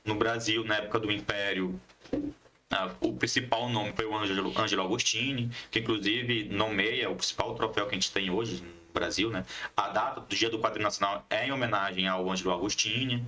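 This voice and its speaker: A man's voice: fairly low pitch, steady tone, brisk, pushed and bright. A man speaking as an interview guest, explaining things in a didactic, conversational way with frequent tag questions. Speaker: guest, history teacher and comics scriptwriter.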